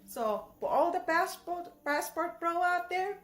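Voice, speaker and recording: High-voiced indignant Filipino woman. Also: expressive, measured pace, a bit bright, roomy sound, faint music behind. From Philippines. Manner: indignant, emphatic, scolding. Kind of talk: ranting video reply.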